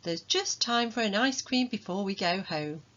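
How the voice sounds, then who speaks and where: A woman voicing a kindly, upbeat mother in a children's storybook, encouraging and gently instructing. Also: medium pitch, lively intonation, normal speed, highs cut off, close to an everyday voice. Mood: bright, encouraging.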